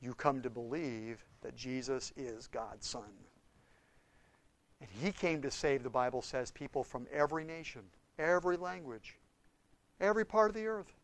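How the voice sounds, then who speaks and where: A man preaching a sermon with impassioned swings between loud and hushed delivery. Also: medium pitch, lively intonation, moderate pace. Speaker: pastor.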